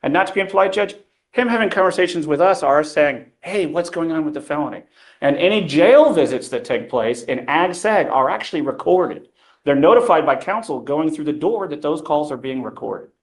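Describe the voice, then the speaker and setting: A man's voice: fairly high pitch, lively intonation, a little fast. A defense attorney, a man, arguing before the bench in a courtroom. He talks in formal legal terms and keeps pressing his point, with frequent ums between phrases.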